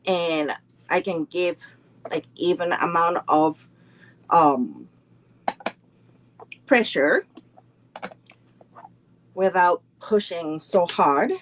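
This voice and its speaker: Very slow-talking woman teaching card making. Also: lowish voice. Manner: slow, unhurried, greets viewers and chats between steps. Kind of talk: live online craft class.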